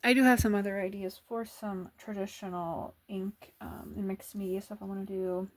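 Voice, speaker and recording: A woman artist talking quietly and musing as she draws in ink, her voice sometimes sinking toward a whisper, in a soft-spoken ASMR chat. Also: medium pitch, steady tone, normal speed.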